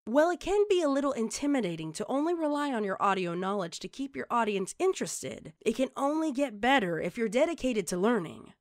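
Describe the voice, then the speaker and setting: A woman's voice: a little high, big pitch swings, brisk, hardly any pauses, dry recording, studio quality. A woman reading scripted tutorial narration, addressing the listener directly in an instructional way. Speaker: tutorial presenter.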